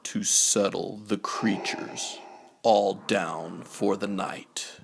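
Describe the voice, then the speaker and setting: A man's voice: sits low, steady tone, extremely slow, creaky. A man reading a children's bedtime story as a scripted narrator, on a noisy recording.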